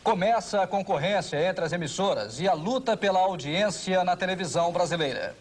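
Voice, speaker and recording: A man's voice: high-pitched, fairly quick. A man with a Brazilian accent narrating a television documentary from a script. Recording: old TV broadcast with faint noise.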